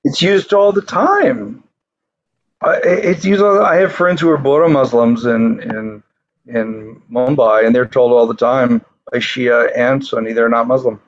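A man's voice: mid-range voice, fairly even intonation, unhurried. Guy chiming in w questions, muffled lo-fi call sound. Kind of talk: casual conversation.

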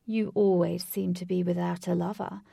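A woman's voice: fairly low pitch, little pitch movement, vocal fry, mellow, breathy edge, dry recording, studio quality, full character voice. Woman putting on a theatrical stalker man. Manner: theatrical, grandiose, drawn-out. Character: theatrical, delusional adult male stalker.

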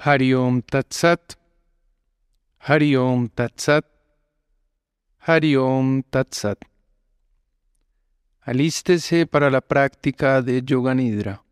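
A man guiding a relaxation meditation as a yoga instructor, giving calm, measured instructions with long pauses between phrases. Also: mid-range voice, clear, resonant tone, no room sound, clean studio sound. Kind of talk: slow guided relaxation meditation.

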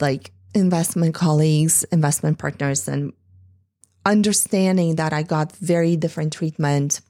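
A woman's voice: low voice, warm tone, dry recording, clean studio sound. A woman in her forties gives an impassioned, earnest talk to women in tech, with many "like"s and restarts. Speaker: speaker addressing women in tech. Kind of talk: earnest speech to an audience.

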